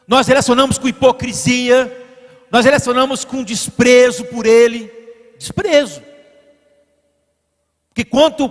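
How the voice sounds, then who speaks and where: A man from Brazil preaching a sermon as pastor, building to emphatic peaks and then falling to quiet asides. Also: very high voice, in a large hall. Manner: directs the slide operator mid-sermon. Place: church sanctuary.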